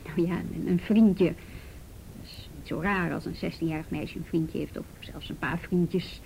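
Brisk, persistent policewoman, dark mellow voice, dry questioning, radio play with noisy rumble. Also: steady tone, creaky. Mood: gently insistent. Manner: probing, matter-of-fact, dry. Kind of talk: radio drama acting.

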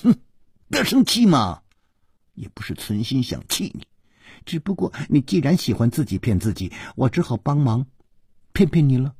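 A man's voice: medium pitch, sing-song, moderate pace, pauses often, a little mellow, husky, no room sound, studio quality, heavily acted. A man voicing a grinning young servant boy in an audiobook, playful and mocking.

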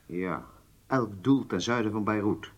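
Husky, sober intelligence man. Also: fairly low pitch, measured pace. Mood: sober, assured. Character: matter-of-fact male intelligence officer, sober and assured. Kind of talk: Dutch radio drama acting.

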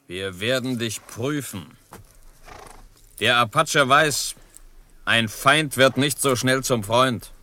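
An actor playing a stern, dignified Apache chief in a western radio drama, speaking tersely and deliberately. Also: male voice, mid-range voice, bright, forceful tone. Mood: stern, sceptical.

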